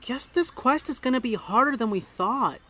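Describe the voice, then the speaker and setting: An actress playing a curious, eager young twin who asks lots of questions. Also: female voice, a little high, expressive, normal speed, bright, forceful tone, soft background music.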